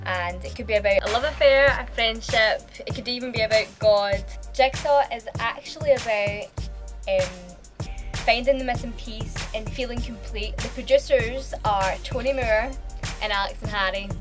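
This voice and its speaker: A woman's voice: fairly high pitch, moderate pace, over music. Upbeat young Scottish woman. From Scotland. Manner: earnest, upbeat, gushing. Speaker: aspiring singer. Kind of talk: promotional profile talk to camera. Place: studio and city streets.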